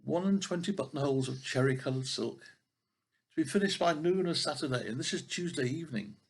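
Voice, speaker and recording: An older man voicing a poor old tailor in a storybook, anxious and mournful, muttering and lamenting in drawn-out words. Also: a little high, expressive, vocal fry, slightly rough, voice pushed up, full character voice. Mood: fretful lamenting.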